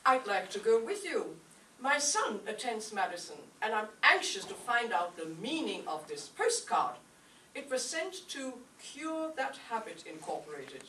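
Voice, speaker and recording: Concerned, formal businesswoman acted by a woman for radio sitcom; bright, lively, far from the mic. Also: a little high, unhurried, full character voice. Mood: indignant, formal. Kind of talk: old-time radio comedy acting. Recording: echoey stage, distant mic, faint noise.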